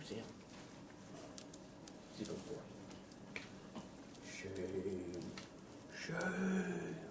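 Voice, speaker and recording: A man streaming live ASMR, speaking softly and often in a whisper, with a playful, deadpan roleplay manner. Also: mid-range voice, heavy background noise.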